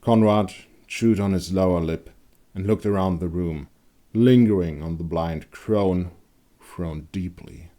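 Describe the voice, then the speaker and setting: A male storyteller with a very low voice reads dark fantasy fiction. The delivery is dramatic and scripted, in the manner of an audiobook. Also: unhurried. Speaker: author and narrator.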